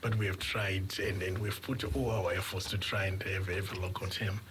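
Earnest man, halting speech. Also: low voice, flat delivery, fairly bright tone, slight background noise. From Zimbabwe. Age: middle-aged. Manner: earnest, halting, repeats words while thinking. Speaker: radio guest. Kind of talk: radio interview.

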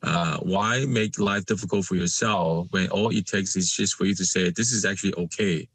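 A man from Malaysia, a guest in a conversational interview, speaking haltingly with many fillers and restarts. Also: low voice, steady tone, a bit bright, slightly rough, no room sound.

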